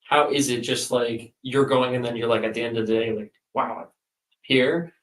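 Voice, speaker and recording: A man's voice: medium pitch, steady tone, normal speed, fairly bright tone, echoey room, recorded from a distance, low-quality recording. A man, an author, chatting casually and rambling, with many fillers and restarts. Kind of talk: casual chat.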